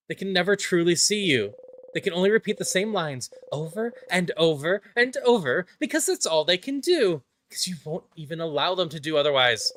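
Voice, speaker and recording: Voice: medium pitch, fairly bright tone, close to an everyday voice. An intense, despairing game hero acted out by a voice-over performer spirals through a bitter inner monologue. The delivery halts and repeats obsessively, with noise behind it. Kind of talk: dramatic game script voice-over.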